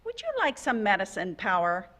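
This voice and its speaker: A woman voicing a wise, calm male frog who guards the water, speaking gently over a video call. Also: mid-range voice, normal speed. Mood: welcoming.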